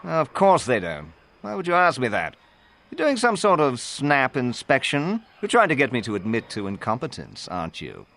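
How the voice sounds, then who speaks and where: Brusque patrol captain with swooping pitch. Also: male voice, wildly swooping pitch, normal speed, creaky, fairly bright tone, exaggerated voice. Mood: irritated, defensive. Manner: curt, officious, irritable. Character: brusque, defensive, blustering corporate patrol captain. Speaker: patrol captain character in a video game. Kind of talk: video game voice acting.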